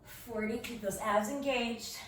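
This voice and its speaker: Fitness instructor, woman, phlegmy from a lingering cough, slow steady counting, far from the mic in a very echoey room with faint noise. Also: fairly high pitch. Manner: encouraging, counts reps aloud while exercising. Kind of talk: workout instruction, counting reps. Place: home room.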